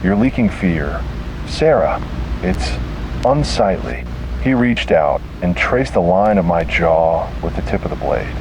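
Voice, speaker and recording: Low-voiced man narrating horror over noise. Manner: slow, deliberate, dramatic pauses.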